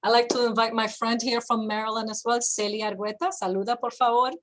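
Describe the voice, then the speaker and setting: A woman's voice: a little high, flat delivery, quick pace, low-quality recording. A woman of Dominican heritage hosting a panel informally, chatty and dropping in bits of Spanish. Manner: chatty, bilingual interjections. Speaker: moderator, host.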